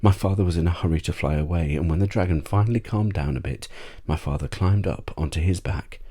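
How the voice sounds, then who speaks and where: A man narrating a bedtime story as ASMR, with a measured storytelling delivery. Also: low voice, dark tone. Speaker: audiobook reader.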